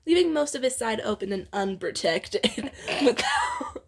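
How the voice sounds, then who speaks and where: A woman's voice: a little high, big pitch swings, a little slow, gravelly. A woman reading fan fiction aloud in a casual way, often breaking into giggles and stumbling over the text.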